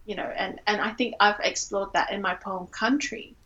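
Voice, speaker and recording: A woman's voice: mid-range voice, moderate pace, bright, forceful tone, muffled recording. A woman from Singapore, a poet and teacher, talking reflectively as an interview guest and searching for her words. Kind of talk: reflective literary interview talk.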